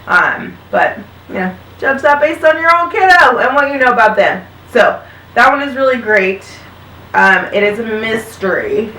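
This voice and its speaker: Upbeat chatty mom in a roomy space. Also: female voice, a little high, animated, moderate pace, bright, forceful tone, slight background noise. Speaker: vlog host and mother. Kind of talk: casual chat recommending podcasts. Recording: roomy sound, mic at a distance.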